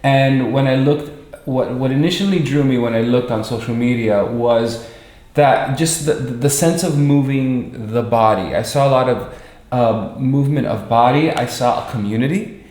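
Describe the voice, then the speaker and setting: A man in his forties with an American accent, chatting reflectively as an interview guest, halting and repeating words as he searches for them. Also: medium pitch, darkish tone. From Miami, United States. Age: 40s. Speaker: interview guest, performer and director. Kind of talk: casual reflective chat. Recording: echoey room.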